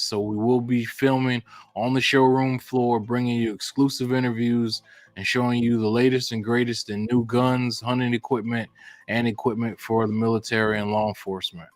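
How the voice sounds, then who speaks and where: A man's voice: mid-range voice, fairly even intonation, moderate pace, no room sound. Casual male podcast host, dry sound. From United States. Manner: upbeat announcer, casual slang like bro. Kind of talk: podcast hosting and interview.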